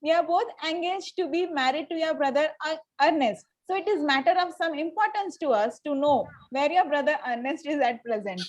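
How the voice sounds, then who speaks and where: Haughty society girl, Victorian stage comedy, played by a woman, very high voice, formal and imperious delivery. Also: fairly quick, few pauses, close to an everyday voice. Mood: formal, wounded. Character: haughty, indignant young Victorian lady of fashion. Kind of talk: amateur table read of a stage comedy.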